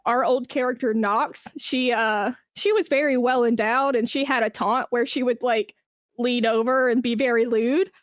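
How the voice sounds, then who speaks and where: Interview guest: woman with a fairly high voice, enthusiastic, informal, conversational, recorded very dry. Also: fairly even intonation, moderate pace, no room sound. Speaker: interview guest, esports brand manager. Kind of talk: casual interview chat.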